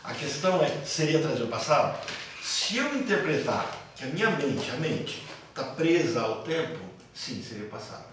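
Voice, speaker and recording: A Brazilian man gives a classroom lecture in a slightly high voice. He explains didactically, posing questions and answering them himself. The recording is very reverberant and picked up from a distance, with faint noise. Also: very animated, moderate pace. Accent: Brazilian Portuguese. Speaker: psychoanalysis teacher. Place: classroom with students. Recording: very echoey room, distant mic, faint noise.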